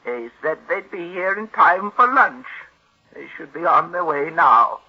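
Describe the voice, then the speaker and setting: An actress playing a bitter, imperious, reclusive old invalid aunt in a 1940s radio drama, curt and suspicious. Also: female voice, low-pitched, animated, unhurried. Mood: cool, appraising. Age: older. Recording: muffled vintage radio drama recording.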